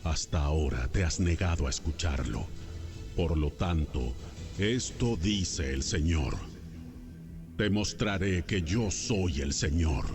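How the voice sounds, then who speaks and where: A solemn, commanding God for a dramatized scripture recording. The voice is very deep and moves slowly, with weighty authority. Music plays clearly behind it. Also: male voice, animated, full character voice. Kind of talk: dramatized audio Bible.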